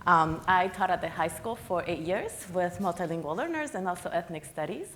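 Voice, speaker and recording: A woman's voice: on the low side, animated, moderate pace, a bit bright, reverberant, a little hiss or hum. A woman from Guatemala greeting the crowd cheerfully and introducing herself on a stage panel. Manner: upbeat, audience-engaging. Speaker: panelist, high school teacher. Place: stage in a museum hall.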